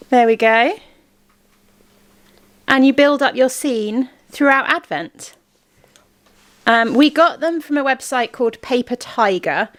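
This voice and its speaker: A woman hosting a family vlog, chatting casually and explaining things in an informal way. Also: a little high. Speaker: vlog host.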